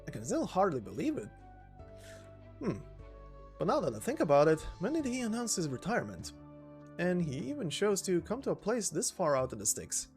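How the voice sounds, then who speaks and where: A man's voice: sits high, wildly swooping pitch, moderate pace, a little mellow, soft background music. A man voicing a curious, chatty villager from a sleepy backwater in a game story, musing aloud and greeting politely. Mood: wondering, friendly.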